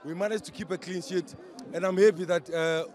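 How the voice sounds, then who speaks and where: Man, hoarse voice, footballer, post-match interview, outdoors pitchside, somewhat high voice. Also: fairly even intonation, brisk, darkish tone. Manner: proud, mixes English and Zulu. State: hoarse, lost voice. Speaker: footballer, defender.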